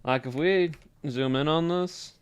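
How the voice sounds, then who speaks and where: A man's voice: fairly high pitch, a little slow, smooth, no echo. A man streaming live and chatting casually with viewers in a put-on Southern accent, musing aloud in a loose drawl. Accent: put-on American Southern. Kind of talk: casual livestream chat with viewers.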